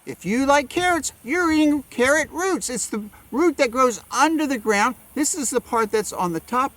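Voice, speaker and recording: A man's voice: extremely high pitch, lively intonation, normal speed, fairly bright tone. A man giving playful gardening asides for children, asking and answering his own questions theatrically. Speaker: garden educator guest.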